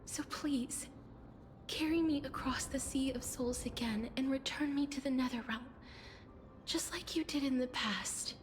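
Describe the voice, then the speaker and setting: A woman playing a gentle, solemn young woman who tends to death in a video game, speaking softly and often in a whisper. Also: high-pitched, unhurried, a little hiss or hum. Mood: tender, sorrowful. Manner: soft, breathy, often whispering. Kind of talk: dramatic video game voice acting. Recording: game music behind the voice.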